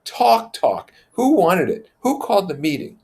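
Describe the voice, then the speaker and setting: A man's voice: sits high, very animated, a little slow, gravelly, a little mellow, pitched above the performer's own voice, full character voice. A man as an earnest, troubled schoolboy chief. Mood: indignant. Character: Ralph, troubled, bitter, determined schoolboy chief. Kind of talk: storybook character voice.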